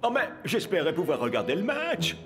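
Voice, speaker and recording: Cartoon security guard, reluctant, slightly high, extremely varied pitch. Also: male voice, wildly swooping pitch, brisk, long reverb tail, music in the background. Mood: disappointed. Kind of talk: French animated series dubbing.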